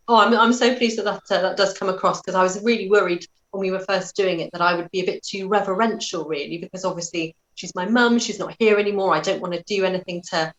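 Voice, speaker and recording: A woman's voice: medium pitch, fast talker, low-quality recording. A British woman answering as an interview guest in a conversational, thoughtful, self-effacing way.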